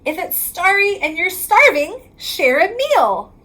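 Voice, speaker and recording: Very high-pitched woman reading a picture book. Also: big pitch swings, bright, forceful tone, slight background noise, low-quality recording. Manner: sing-song rhyming delivery, drawn-out line endings. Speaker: reading specialist reading aloud to children. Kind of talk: picture book read-aloud.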